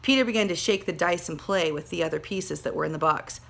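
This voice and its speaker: A woman teacher reading a children's story aloud with dramatic emphasis, pausing to speak directly to her pupils. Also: on the low side, vocal fry, a bit bright. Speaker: second grade teacher. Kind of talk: expressive storybook read-aloud with teaching asides.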